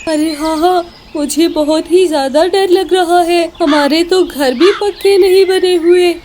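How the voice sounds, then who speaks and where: Woman as a very high, mellow little cartoon bird. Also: measured pace, a little hiss or hum. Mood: frightened. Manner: exclamatory, earnest. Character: small, earnest female cartoon bird. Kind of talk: Hindi cartoon story dialogue.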